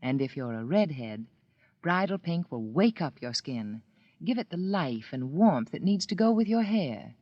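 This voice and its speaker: A woman's voice: deep voice, sing-song, unhurried, dry recording. A woman reading a 1940s radio commercial in a cooing, persuasive way. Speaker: commercial announcer. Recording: dry, dull old radio broadcast.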